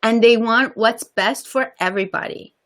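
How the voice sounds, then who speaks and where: A woman's voice: a little high, normal speed, a bit bright. A woman with a slight Persian accent, a guest on a casual interview, talking earnestly and often repeating words while she searches for them.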